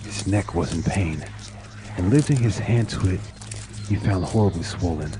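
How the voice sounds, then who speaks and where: A man with a very low voice reads a horror tale as scripted narration. His delivery is solemn and measured, with dramatic weight. Music plays clearly behind him.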